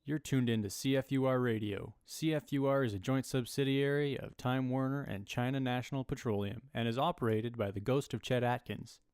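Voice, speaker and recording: A man reading a scripted, deadpan station identification as a radio announcer. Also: mid-range voice, brisk, few pauses, clean tone, no room sound, clean studio sound.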